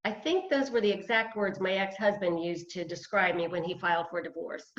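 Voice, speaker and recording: A woman's voice: on the low side, steady tone, moderate pace, close to an everyday voice. A woman playing a self-deprecating, hesitant retired woman of fifty-eight, a beginner dancer, in a play read over video call. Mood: wry, reflective. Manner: hesitant, wry, chuckling. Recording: roomy, dull video-call audio.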